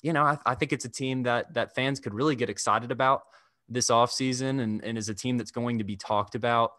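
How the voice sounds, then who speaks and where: A young man hosting a sports podcast over a video call, talking in a measured, analytical way at a desk microphone. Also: mid-range voice, steady tone, a bit bright, no echo, studio quality. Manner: measured, analytical, restarts phrases. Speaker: podcast host. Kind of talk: casual sports talk. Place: indoor room.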